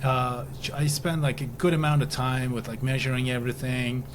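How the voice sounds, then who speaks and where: Male podcast host, clearly noisy recording. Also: mid-range voice, little pitch movement, brisk. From Turkey. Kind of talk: casual interview chat.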